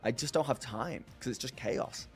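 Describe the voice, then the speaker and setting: Male streamer, mid-pitched, chatty and self-mocking, faint music underneath. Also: normal speed. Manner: rambling, self-deprecating, laughs often. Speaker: streamer hosting a live chat. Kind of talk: casual live-stream chat, answering viewers.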